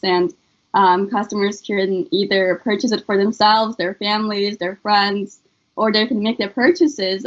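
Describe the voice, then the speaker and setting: A woman's voice: mid-range voice, muffled recording. Earnest young woman from Afghanistan. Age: young adult. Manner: earnest, many um fillers. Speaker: student guest. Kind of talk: interview answers, personal story.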